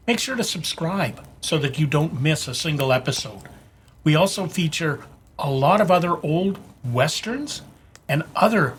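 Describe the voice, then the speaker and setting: A man's voice: a little high, a bit bright, slight background noise. A man giving a casual, friendly promotional outro as the channel host. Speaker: podcast host.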